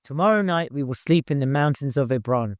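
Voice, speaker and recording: Man as earnest, animated caravan leader. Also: medium pitch, fairly quick, no room sound, clean studio sound, natural voice. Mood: resigned. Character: dutiful, serious caravan leader, polite man. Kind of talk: audiobook character voice.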